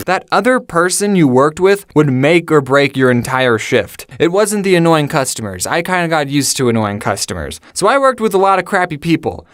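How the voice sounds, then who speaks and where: A man's voice: fairly high pitch, dry recording, clean studio sound. A young adult man with an American accent telling a casual comedic story to his viewers, chatty and self-deprecating with sarcastic asides. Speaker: storyteller and video host.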